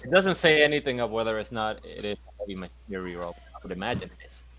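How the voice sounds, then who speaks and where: A man's voice: on the low side, expressive, fast, a bit bright, slight background noise, low-quality recording. A man playing a tabletop game, talking casually with swearing and sarcasm. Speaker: player and ship pilot.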